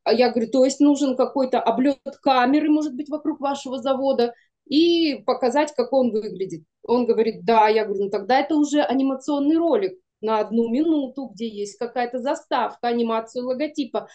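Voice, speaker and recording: High-voiced, mellow middle-aged woman, chatty. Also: fairly quick, lo-fi sound. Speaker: guest, animation studio producer. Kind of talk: casual interview talk. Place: small room indoors, on a video call. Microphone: video call.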